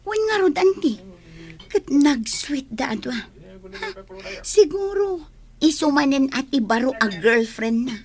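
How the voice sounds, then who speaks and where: A woman's voice: high-pitched, animated, a little slow, a little mellow. A woman playing a loyal, meddling friend in a radio drama, confrontational and indignant. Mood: gossiping, concerned. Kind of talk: Ilocano radio drama acting.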